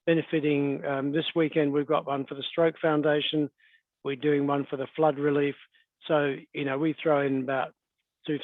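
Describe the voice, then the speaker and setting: Australian man, middle-aged, croaky from a cold, higher-pitched voice, clears throat, thin phone-like video call, dry sound. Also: no room sound. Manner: relaxed, conversational, frequent fillers and throat clearing. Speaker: real estate agent and auctioneer. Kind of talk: webinar presentation.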